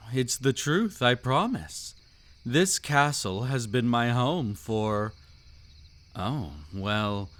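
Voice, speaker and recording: A man's voice: mid-range voice, fairly bright tone. A man voicing a suave, predatory old vampire count in a scripted roleplay audio, with an aristocratic, silky, teasing and theatrical delivery.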